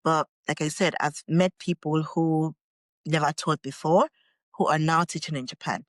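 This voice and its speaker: A young Nigerian woman, a guest on an interview podcast, telling her story in a relaxed, chatty way and laughing often. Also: deep voice, normal speed, no room sound, clean studio sound. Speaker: guest, English teacher in Japan.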